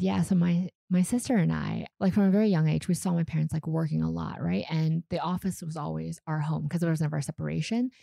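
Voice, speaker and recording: Low, gravelly Canadian-born woman. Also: dry recording, clean studio sound. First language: Vietnamese. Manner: relaxed, chatty, frequent fillers and small laughs. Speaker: guest. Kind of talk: casual interview storytelling.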